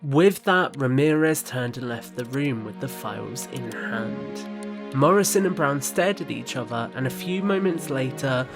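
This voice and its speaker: A man delivers scripted narration for an audio drama. His voice sits at a medium pitch, telling the story over a clearly audible musical score.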